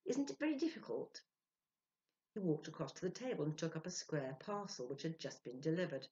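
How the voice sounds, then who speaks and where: A woman in her sixties reading a story aloud as an expressive, theatrical storyteller. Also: low voice, quick pace. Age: 60s. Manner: theatrical, acting out dialogue. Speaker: storyteller, reader. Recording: dull lo-fi home recording.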